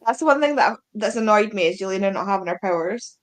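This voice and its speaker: Woman, mid-pitched voice, readalong co-host, informal book chat, frequent "like", acted-out quotes. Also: lively intonation, fast. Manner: peppers speech with "like", acts out quotes.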